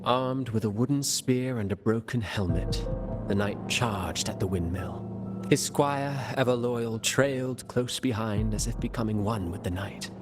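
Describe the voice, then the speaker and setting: A man's voice: fairly low pitch, unhurried, music in the background, lightly acted. A man playing a courteous, taunting schemer in a fantasy game, florid and mock-deferential. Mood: sly, taunting. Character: theatrical, sly, courteous schemer with a taunting edge. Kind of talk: game voice acting.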